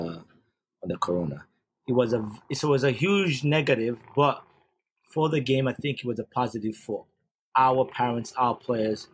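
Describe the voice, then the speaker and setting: A man's voice: on the low side, darkish tone, breathy edge. A man from Uganda, a youth sports coach, giving an earnest casual podcast monologue and often repeating and restarting his phrases.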